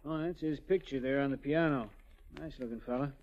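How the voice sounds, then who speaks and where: A man playing a plain-spoken, grumbling police detective with gruff colloquial talk, in 1940s radio drama style. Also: mid-range voice, a little fast, natural voice. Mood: businesslike, grumbling. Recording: muffled, noisy 1940s radio recording.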